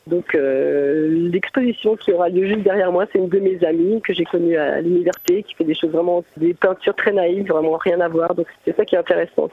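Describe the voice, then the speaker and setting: A woman answers radio questions as a visual artist. Her voice is husky and fairly low, and she explains things with frequent hesitant pauses. The sound is dry and narrow, like a phone line. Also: no echo. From Mamers, France. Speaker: visual artist, interview guest. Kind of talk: conversational radio interview answers. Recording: muffled phone-like narrow band.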